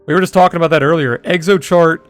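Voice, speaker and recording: A young man in his twenties with a deep voice, chatting bluntly and profanely with viewers on a trading livestream. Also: steady tone, fast, clear, resonant tone, no echo, faint music behind. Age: 20s. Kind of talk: casual livestream chat answering viewers.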